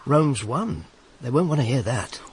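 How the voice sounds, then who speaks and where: An actor playing a world-weary, streetwise Roman agent, protective and dryly teasing, in radio drama dialogue. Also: male voice, medium pitch, sing-song, measured pace, noisy background. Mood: dry, reassuring. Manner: dry, teasing.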